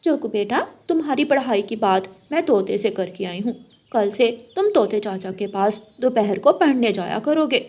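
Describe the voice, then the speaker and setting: Woman as a scheming mother crow, high voice. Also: lively intonation, moderate pace, a little mellow. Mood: coaxing. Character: scheming, dismissive mother crow who encourages stealing.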